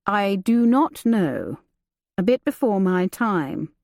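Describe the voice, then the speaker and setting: A woman voicing a reserved young gentleman, curt and dry. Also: medium pitch, big pitch swings, slow pace, vocal fry, no room sound, heavily acted.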